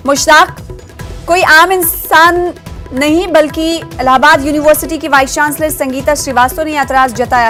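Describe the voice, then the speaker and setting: News anchor: Indian woman, high-pitched delivery over a clear music bed. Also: a bit bright. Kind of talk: Urdu news bulletin presenting. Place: broadcast news studio.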